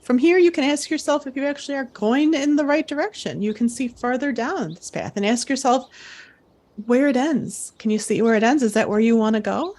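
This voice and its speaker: A woman hosting as a camp director, coaching and storytelling in short, deliberate, encouraging phrases. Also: high voice, a little mellow. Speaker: host, playing a camp director guiding campers. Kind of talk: guided imagery coaching, storytelling.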